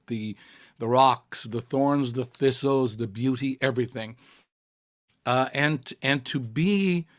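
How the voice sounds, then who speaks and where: Older Israeli-raised man, halting recollection. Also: medium pitch. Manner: halting, many fillers and restarts. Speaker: cantor, interviewee. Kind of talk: reflective interview answers.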